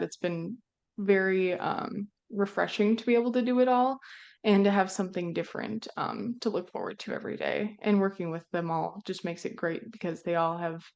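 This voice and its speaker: Young woman from minnesota, smooth low-ish voice, friendly, lots of ums. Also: a little mellow. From central Minnesota. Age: young adult. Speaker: broadcast engineer, guest. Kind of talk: conversational interview.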